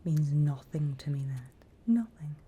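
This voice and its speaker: A British woman playing a sly, greedy female demon auctioneer in a whispered ASMR roleplay, with a soft breathy voice and a deliberate, coolly questioning manner. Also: low voice, lively intonation, muffled recording, heavily acted. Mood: dry, teasing.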